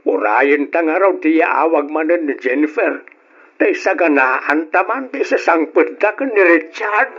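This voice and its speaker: A man playing an elderly, affectionate, easygoing father in an old radio drama, with a husky voice. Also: fairly high pitch, lively intonation, a little slow, a bit bright, highs cut off, full character voice. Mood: cheerful.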